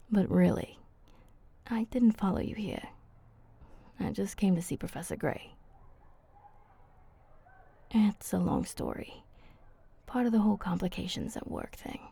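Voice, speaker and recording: A woman voicing a flirty, teasing female vampire in an ASMR roleplay, soft and husky, speaking intimately and haltingly. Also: mid-range voice, heavily acted. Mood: guarded, earnest.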